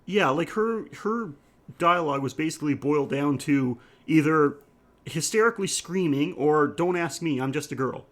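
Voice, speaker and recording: A man's voice: on the high side, expressive, moderate pace, fairly bright tone. Casual male podcast host chatting. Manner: laughs easily while talking. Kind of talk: casual film review chat.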